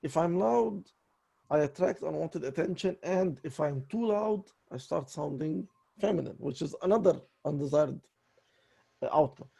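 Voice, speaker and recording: A raspy man speaks in a halting, apologetic way, doubling back on his words again and again. His voice is dark and gravelly, sitting a little higher than most men's, with a husky edge throughout. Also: lively intonation. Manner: apologetic and halting, many restarts. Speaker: voice student. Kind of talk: voice lesson conversation.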